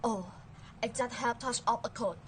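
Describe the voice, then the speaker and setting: A woman's voice: on the high side, moderate pace, slight background noise, natural voice. A woman playing a sly, hungry wolf who feigns sweetness in an amateur storybook play. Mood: coaxing. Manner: coaxing, mock-friendly.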